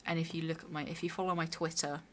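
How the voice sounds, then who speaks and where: A young woman with a hoarse, raspy voice, chatting casually and rambling while streaming a game. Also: sits low, flat delivery, fast, a bit bright, a little hiss or hum. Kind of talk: casual chat while gaming.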